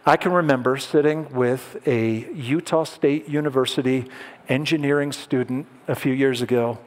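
A pastor giving a conversational sermon, asking the audience questions and making light jokes. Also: male voice, mid-range voice, normal speed. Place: large hall with an audience. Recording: long hall reverb.

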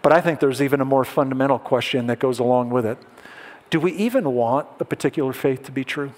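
A man's voice: medium pitch, quick pace. Man preaching in an echoing hall. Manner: conversational, asks the audience questions, light jokes. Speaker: pastor. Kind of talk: sermon. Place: large hall with an audience. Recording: long hall reverb.

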